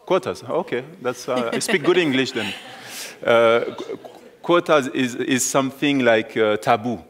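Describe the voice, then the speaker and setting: A man's voice: mid-range voice, unhurried. A man with a French accent, a native French speaker, talking on a conference panel, hesitant, with many restarts and fillers. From France.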